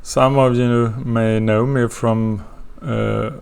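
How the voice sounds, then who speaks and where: A man's voice: medium pitch, little pitch movement, slow, gravelly, darkish tone, smooth. A man from Sweden with a Swedish accent, introducing an astrology course in a hesitant way, with many fillers and restarts. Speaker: astrology teacher.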